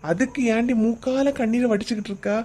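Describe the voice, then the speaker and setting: A woman voicing a teasing, gruff middle-aged husband in a cartoon comedy, speaking with a Kanyakumari Tamil accent. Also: fairly high pitch, quick pace, mellow, natural voice. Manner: brusque, scolding, teasing banter.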